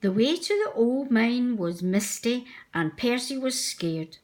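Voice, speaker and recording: A woman's voice: a little high, lively intonation, slow, darkish tone. A woman narrating a children's storybook aloud. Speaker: storybook reader.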